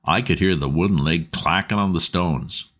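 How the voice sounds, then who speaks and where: A man's voice: low voice, moderate pace, fairly bright tone. A man voicing a stout, red-faced, working-class boatman's wife who rambles anxiously in homely dialect.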